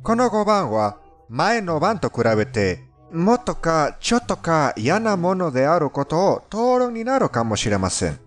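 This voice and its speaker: Man, foreign accent, very lively intonation, fairly high voice, polite theatrical countdown narration, music underneath. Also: unhurried. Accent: foreign, non-native Japanese. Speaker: fandub narrator of a countdown video. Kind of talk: scripted narration of creepy countdown stories. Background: background music.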